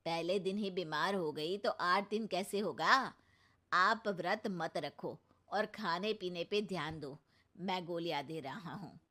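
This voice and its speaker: A woman's voice: on the low side, slow, pushed and bright, full character voice. A woman voicing an admonishing family doctor in a comic cartoon, with a firm, advising manner.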